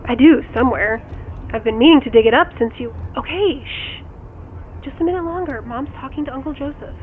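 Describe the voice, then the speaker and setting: A woman's voice: high-pitched, a little fast. An actress playing a harried mother wrangling kids while on the phone in a naturalistic audio drama. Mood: curious. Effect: phone call filter. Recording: tinny phone line, faint noise.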